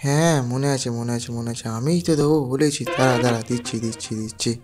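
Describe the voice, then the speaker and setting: A man's voice: medium pitch, quick pace, voice pushed down, close to an everyday voice. A man voicing a boastful, penniless, cajoling friend in a comedy cartoon, speaking slangily.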